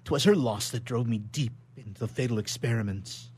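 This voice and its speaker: An actor playing an anguished, horror-stricken young scientist in a melodramatic radio play, declaiming in a halting, overwrought way. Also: male voice, medium pitch, a little mellow, slight background noise, close to an everyday voice. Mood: longing, agitated. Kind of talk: melodramatic 19th-century stage acting in radio theatre.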